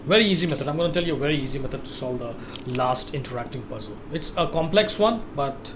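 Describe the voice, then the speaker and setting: A man's voice: mid-range voice, animated, quick pace, noisy background. A man giving a casual gameplay walkthrough, explaining things step by step and repeating himself. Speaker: gaming walkthrough host.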